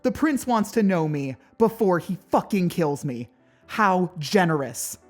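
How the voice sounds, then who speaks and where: A woman's voice: lowish voice, expressive, measured pace, voice pushed up. A woman voicing a hot-tempered young woman fighter in a fantasy audiobook, panicked and furious, with a shaky voice that cracks. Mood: angry, bitter. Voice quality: shaky, cracking when upset. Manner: frantic, dramatic outbursts. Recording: background music behind the voice.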